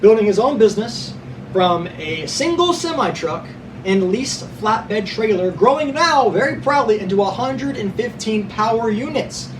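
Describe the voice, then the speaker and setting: A young man hosting his own podcast reads through political news from his notes, now and then tripping over a word and fixing it before he goes on. His voice sits high. The mic is some way off, and a clear hum of background noise runs under his words. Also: animated, moderate pace, recorded from a distance. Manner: reads from notes, stumbles and corrects himself, stresses being unbiased. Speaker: podcast host. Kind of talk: reading prepared news notes with asides.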